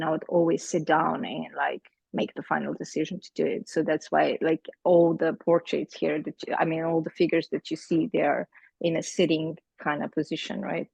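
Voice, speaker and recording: Gravelly Slovenian-accented woman, hesitant. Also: low-pitched, darkish tone, no room sound, poor audio. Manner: hesitant, searching for words, many fillers. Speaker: artist presenting her work to a group. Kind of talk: casual group meeting talk. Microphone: video call.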